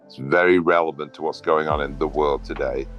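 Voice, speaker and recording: Man in his sixties, extremely low voice, rambling and earnest, video call. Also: fairly even intonation, fairly quick, highs cut off, soft background music. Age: 60s. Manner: rambling, earnest, spiritual talk. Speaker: meetup participant, systems designer. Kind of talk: casual self-introduction on a video call.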